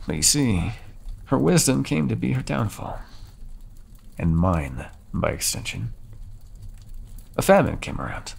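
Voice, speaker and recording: A man voicing a gravelly, brooding ancient vampire. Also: on the low side, heavily acted. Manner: soft-spoken, measured, confiding. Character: brooding ancient male vampire captor, solemn. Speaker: voice actor. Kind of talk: ASMR audio roleplay monologue.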